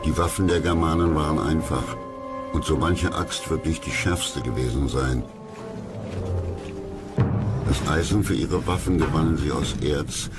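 Male documentary narrator, very low voice, scripted read over loud music. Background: loud background music.

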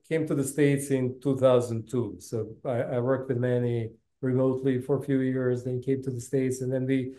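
A man's voice: mid-range voice, dark tone. A man in his fifties with a Balkan accent, telling his story casually as an interview guest, often restarting his phrases. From Bosnia. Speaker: interview guest, tech business executive. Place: indoor room, video call. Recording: roomy, reverberant video call audio.

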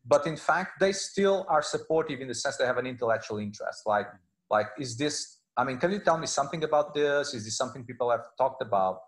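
A middle-aged man from Portugal, a native Portuguese speaker with a Portuguese accent, giving halting, conversational interview answers as a professor on a video call. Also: medium pitch, lively intonation. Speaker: law professor, interview guest. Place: indoor room, video call. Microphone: wired earphones on a video call.

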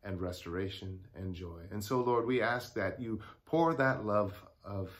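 A man in his sixties, a pastor giving a daily devotional to camera in a study, speaking earnestly with pauses. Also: fairly low pitch. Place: home study with bookshelves. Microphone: lapel mic.

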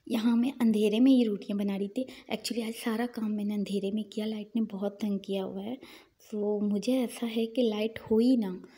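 A young woman narrating her day in a chatty, unhurried voice-over, with small fillers and pious asides. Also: mid-range voice, steady tone, dark tone. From Pakistan. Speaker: vlogger.

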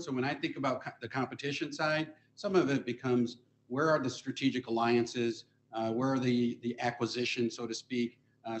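A man's voice: medium pitch, slight background noise. A man answering as a panelist on an auditorium stage, starting with hesitations and then building to emphasis. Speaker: panelist, association executive.

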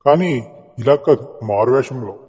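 A man playing a proud, hot-tempered king with a formal, commanding manner in an animated moral story. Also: mid-range voice, unhurried, slightly rough. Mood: stately. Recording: long hall-like reverb, music behind.

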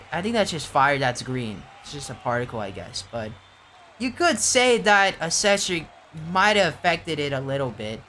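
A tired young man doing casual, rambling play-by-play commentary for an online game league, getting excited on big plays. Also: sits high, slight background noise.

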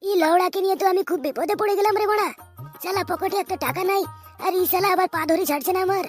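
Swaggering cartoon lad voiced by a man, very high pitch, foul-mouthed, music underneath. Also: fast. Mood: scheming. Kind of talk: cartoon comedy dubbing.

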